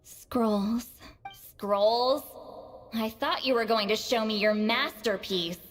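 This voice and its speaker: An actress voicing a proud, prickly sorceress who talks brusquely and teasingly in a video game. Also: female voice, fairly high pitch, slow, soft background music.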